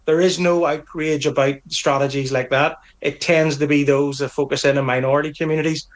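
A man's voice: fairly high pitch, level tone, brisk. Man, Northern Irish accent, middle-aged, firm and earnest, restarts phrases, interview guest. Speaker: police association representative. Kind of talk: broadcast interview answers.

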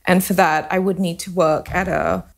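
A woman's voice: lowish voice, steady tone, normal speed, gravelly. A woman in her thirties from India and Singapore, a company founder talking openly in a radio interview. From India, raised in Singapore. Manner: open, talkative, with fillers.

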